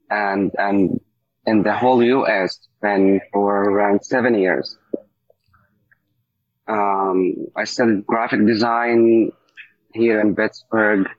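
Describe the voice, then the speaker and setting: A man from Syria, a native Arabic speaker, telling his story casually as an interview guest, halting as he searches for words. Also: on the low side, no echo. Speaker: coffee shop owner, interview guest. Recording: dull, muffled lo-fi audio.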